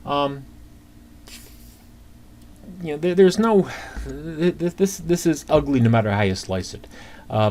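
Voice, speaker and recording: A man's voice: medium pitch, darkish tone. Man teaching accounting over noisy typing. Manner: conversational, halting, talks through figures step by step. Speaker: accounting instructor. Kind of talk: informal screencast lesson, explaining worked calculations. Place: indoors at a computer. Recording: clear background noise, keyboard typing.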